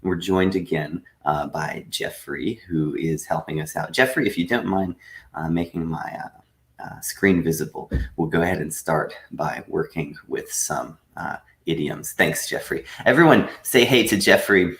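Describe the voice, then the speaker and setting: Man, online teacher, low voice, patient explanations, roomy echo. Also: a bit bright. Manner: patient, explanatory, frequent "uh" pauses. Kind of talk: live-streamed English lesson. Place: room at home.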